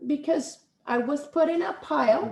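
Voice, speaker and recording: High-voiced woman with a Spanish accent. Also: normal speed, vocal fry, darkish tone. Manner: rambling, many restarts and "right?" tags. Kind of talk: casual conversation. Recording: echoey room.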